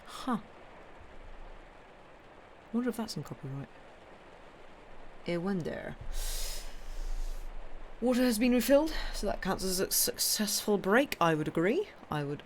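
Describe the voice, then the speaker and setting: Woman hosting a stream, bit croaky tonight, lowish voice, chatty and stumbly before the story, faint hum behind. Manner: rambling, stumbling, self-correcting chatter. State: slightly croaky voice. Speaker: storyteller and live-stream host. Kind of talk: casual chat then reading a ghost story aloud.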